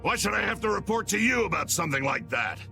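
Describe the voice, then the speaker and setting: A man voicing an insolent, scheming guild boss in a video game, sneering and defiant in a gravelly voice. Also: sits high, sing-song, fast, music in the background, exaggerated voice. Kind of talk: dramatic video game voice acting.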